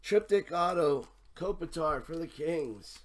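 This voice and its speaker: A hoarse man, losing his voice and clearing his throat, hosting a card break and calling out cards one by one. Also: a little high, animated. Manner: slow, clipped call-outs of cards and prices. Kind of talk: live card break commentary. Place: room with a desk and a banner behind.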